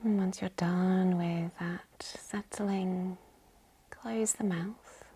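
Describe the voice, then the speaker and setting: Woman, yoga nidra guide, soft whispery voice, creaky edges, mellow tone, calm guided instruction. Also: fairly low pitch. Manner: calm, gentle, unhurried. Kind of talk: guided meditation, slow hushed instruction.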